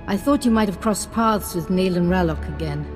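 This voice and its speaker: A woman's voice: on the low side, moderate pace, music in the background, natural voice. A woman playing a scholarly, single-minded Jedi master in a video game, earnest and instructive. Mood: intent. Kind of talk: video game dialogue acting.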